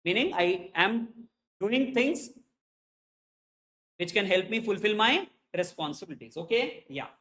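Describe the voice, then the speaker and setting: Chatty Indian man teaching, high voice. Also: lively intonation, a little slow, long pauses, bright, forceful tone. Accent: Indian English. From India, Hyderabad. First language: Hindi. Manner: chatty, checks in with "okay?" constantly. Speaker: chartered accountancy teacher. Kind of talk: classroom self-introduction.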